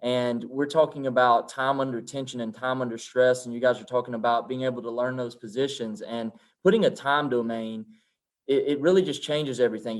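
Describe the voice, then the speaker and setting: A man who is a strength coach, speaking emphatically in a roundtable discussion and asking rhetorical questions. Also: mid-range voice.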